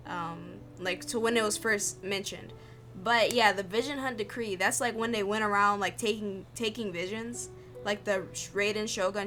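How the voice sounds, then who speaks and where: A woman streamer giving informal, halting live commentary as she plays a game. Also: medium pitch, a bit bright. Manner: halting thinking aloud, informal. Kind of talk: casual live gameplay commentary.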